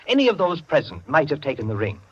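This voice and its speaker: A pompous clubman storyteller lectures his listeners with condescending relish in a 1940s radio play. His pitch moves with lively rises and falls. The old broadcast sounds dull and muffled. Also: fast, slightly rough, exaggerated voice.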